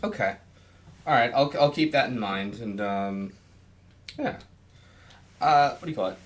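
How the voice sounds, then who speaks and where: A man's voice: mid-range voice, lively intonation, a little slow. A man hosting a podcast, chatting casually and rambling with lots of "like" and "you know". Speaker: podcast host, interviewer.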